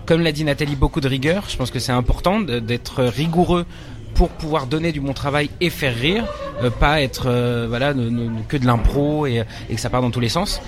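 A man's voice: medium pitch, normal speed, a crowd in the background. A young man from France, an actor and stage director, answering interview questions with many fillers and restarts.

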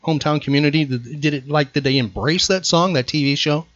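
A man's voice: a little high, fairly quick, a bit bright, no room sound. Playful male podcast host, dull lo-fi sound. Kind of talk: chatty trivia show hosting.

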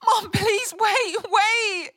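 A woman's voice: extremely high pitch, fairly even intonation, extremely slow, a bit bright, no echo, clean studio sound, full character voice. A young woman acting as a distraught, apologetic pregnant daughter in an audio drama, pleading and hesitant. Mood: desperate, pleading. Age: young adult.

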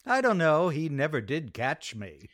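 An actor playing a suave, excitable man about town in a radio sitcom, theatrical and emphatic. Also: on the high side, unhurried, no echo, studio quality, exaggerated voice. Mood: wry, lovelorn.

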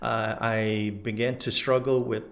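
A middle-aged man and former pastor with a French Canadian-sounding accent, sharing his story candidly in an interview, his speech halting from aphasia after a stroke. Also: medium pitch, level tone, creaky, fairly bright tone. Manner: candid, many pauses and fillers. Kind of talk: personal testimony in an interview.

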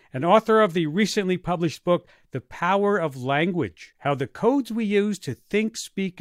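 Radio host with a higher male voice, dry sound. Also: no echo. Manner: measured, scripted introductions. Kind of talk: hosting a live stage interview. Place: theater stage before a live audience.

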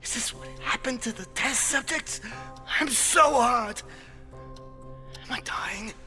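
A man's voice: very high-pitched, slow pace, pushed and bright, soft background music, exaggerated voice. A man acting a desperate condemned doctor in an audio drama, muttering breathily to himself and stammering. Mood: panicked, desperate. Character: desperate condemned doctor on death row, plotting escape. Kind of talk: audio drama acting, whispered interior monologue.

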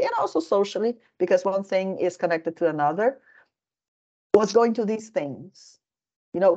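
A woman's voice: fairly low pitch, darkish tone, highs cut off. A woman hosting a casual discussion, chatty and digressive, with many fillers, from Brazil. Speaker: host.

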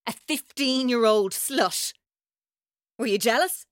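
A woman's voice: fairly high pitch, slow, no room sound. Bitter, menacing woman captor, close and dry. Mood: wounded, threatening. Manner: taunting, intense, accusatory. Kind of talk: radio drama acting.

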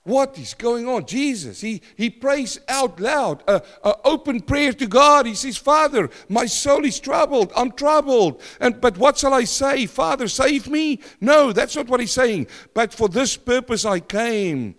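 A man preaching a sermon in a church, loud and emphatic, swinging from forceful declarations to hushed, quiet lines. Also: very high voice, animated, unhurried, few pauses. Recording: long hall reverb.

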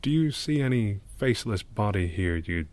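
A man's voice: fairly low pitch, expressive, moderate pace, a little mellow, close to an everyday voice. A man playing a gloomy, cynical old mural painter in an audio drama, speaking in a sardonic, world-weary manner. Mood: dry, sardonic. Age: older.

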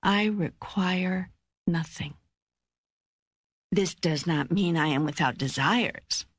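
A woman narrating an audiobook, voicing God as a serene, patient, all-knowing teacher in a measured, deliberate, emphatic way. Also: on the low side, husky, no echo, clean studio sound. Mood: serene, assured. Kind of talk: scripted audiobook reading of spiritual dialogue.

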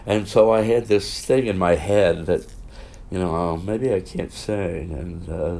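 Older man, loud animated storyteller. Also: deep voice, measured pace, vocal fry. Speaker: guest, veteran musical performer. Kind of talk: conversational interview.

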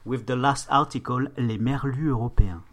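A man's voice: medium pitch, fairly quick, fairly bright tone. A man with a French accent, a native French speaker, chatting casually as a podcast guest and often repeating words as he searches for the phrase. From France. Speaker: podcast guest, gaming streamer.

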